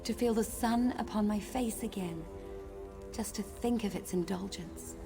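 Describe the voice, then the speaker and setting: A woman playing a mannered, yearning captive in a fantasy video game, speaking in a formal, measured, wistful way. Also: medium pitch, creaky. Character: captive noblewoman trapped long in an icy prison, mannered, yearning. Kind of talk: video game character acting. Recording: music behind the voice.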